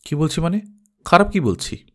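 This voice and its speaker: A man's voice: on the high side, very animated, normal speed, fairly bright tone, pitched above the performer's own voice. A man playing a talkative, wistful middle-class elder brother in an audio story, rambling on matter-of-factly. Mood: defensive, puzzled.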